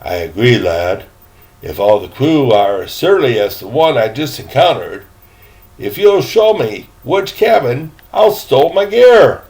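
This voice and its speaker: An older man as a creaky, courteous old gentleman. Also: mid-range voice, sing-song, measured pace, low-quality recording. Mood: agreeable, brisk. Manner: formal, precise, slightly pompous.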